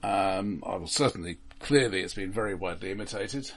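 A man's voice: low voice, brisk. A man co-hosting a casual film podcast, repeating words as he gives dry opinions. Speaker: podcast co-host.

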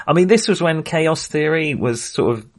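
Man with very animated pitch. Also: normal speed. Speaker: film podcast co-host. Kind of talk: casual film discussion.

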